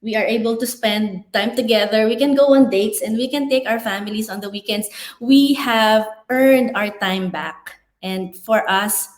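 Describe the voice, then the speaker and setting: Measured woman, echoey room. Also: fairly high pitch. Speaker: business partner and wife. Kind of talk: testimonial on a live webinar.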